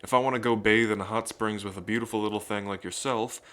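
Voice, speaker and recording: A man playing a romantic dragon king in an intimate ASMR roleplay, tender and unhurried, with playful pride as he talks to the listener. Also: on the low side, steady tone, fairly bright tone, lightly acted. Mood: playful, eager.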